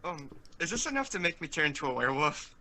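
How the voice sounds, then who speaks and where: A man's voice: on the high side, very animated, fast talker, bright, forceful tone. A man playing a tabletop roleplaying game, giving brief, offhand answers in casual chat.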